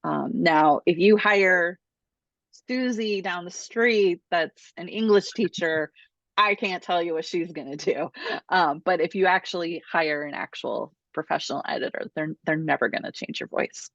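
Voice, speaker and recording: A woman's voice: on the low side, a little slow, creaky, fairly bright tone, no echo. A woman hosting a casual online Q&A class, talking informally over a video call. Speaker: host of a book publishing Q&A class. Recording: dull lo-fi video call audio.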